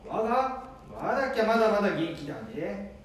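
Radio drama grandpa, male actor: elderly, proud, blustering, high-pitched, Nambu dialect; very reverberant room. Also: lively intonation, brisk. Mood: boastful, defiant. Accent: Nambu dialect of northeastern Japan. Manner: defiant, blustering, insistent. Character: stubborn, proud elderly grandfather who refuses to stop driving. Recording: very echoey room, distant mic.

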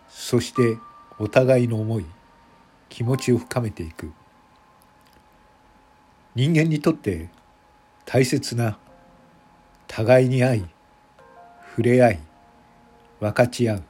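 A man reading scripted narration for an audio drama in a measured, deliberate manner, phrase by phrase. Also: mid-range voice, warm tone.

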